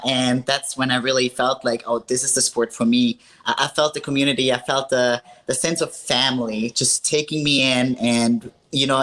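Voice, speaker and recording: Austrian-born woman, 30s, strength athlete guest; bright tone, sincere, cheerful storytelling. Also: rumbling low, poor audio. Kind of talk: casual interview on a video call.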